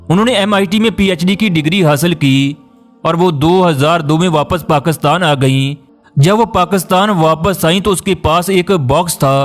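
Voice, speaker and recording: A man reading a scripted documentary narration in a solemn, emotive, declamatory way. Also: on the high side. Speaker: narrator of a biography video. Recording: music behind the voice. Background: background music.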